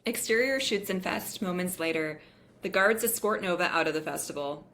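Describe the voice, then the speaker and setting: A woman's voice: fairly low pitch, normal speed, a bit bright, a little hiss or hum. A woman reading screenplay stage directions as narrator in scripted table-read style.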